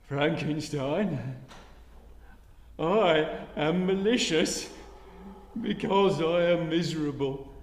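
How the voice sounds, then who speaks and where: A man's voice: high voice, exaggerated voice. A man playing a wretched, eloquent monster in a gothic radio drama, menacing and proud, declaiming in archaic, theatrical phrases. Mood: menacing, bitter. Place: live stage in a hall. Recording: echoey hall, long reverb.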